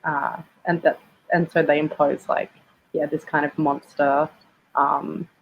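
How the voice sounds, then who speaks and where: A woman on a festival panel speaking in a halting way, correcting herself as she goes. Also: low voice, little pitch movement, gravelly, narrow, tinny audio, a little hiss or hum. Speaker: panelist, writer. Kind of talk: panel discussion.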